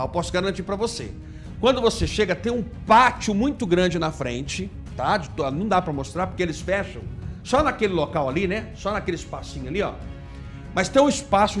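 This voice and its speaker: High-pitched Brazilian man, indignant commentator. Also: a bit bright. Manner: indignant, emphatic, mocking. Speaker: video commentator. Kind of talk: informal political commentary.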